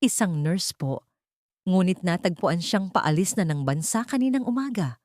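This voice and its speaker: A woman's voice: on the low side, animated, moderate pace, darkish tone, dry recording, clean studio sound, lightly acted. A woman voicing a serious, respectful private investigator in an audio drama. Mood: grave. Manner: serious, deferential. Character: serious, deferential private investigator reporting bad news.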